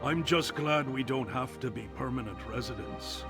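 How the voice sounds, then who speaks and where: A man's voice: mid-range voice, normal speed, lightly acted. An actor playing an earnest male guard commander in a video game, with background music. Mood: grave. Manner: earnest, grave, soldierly. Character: male city guard commander, steady and loyal.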